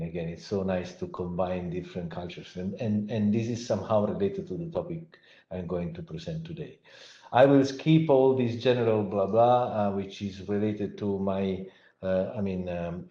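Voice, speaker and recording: Middle-aged Italian-accented lecturer, low-pitched and halting, heard over echoey, dull remote-call audio. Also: male voice, dark tone. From Italy. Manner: halting, frequent fillers and restarts. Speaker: guest lecturer, professor. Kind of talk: online academic lecture.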